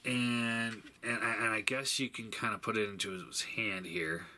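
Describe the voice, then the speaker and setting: A man giving a casual, unscripted product review, thinking aloud in a halting way and stating blunt opinions. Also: on the low side, fairly even intonation, bright, forceful tone. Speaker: toy reviewer. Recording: faint background noise.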